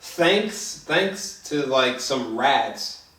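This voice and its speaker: A man chats casually as he reviews a film, in a mid-pitched voice. He rambles and halts, repeating himself for emphasis and cursing freely. The sound is roomy and set back from the microphone. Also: lively intonation, extremely slow, a bit bright. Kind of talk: casual, spoiler-filled film review chat. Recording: echoey room, distant mic.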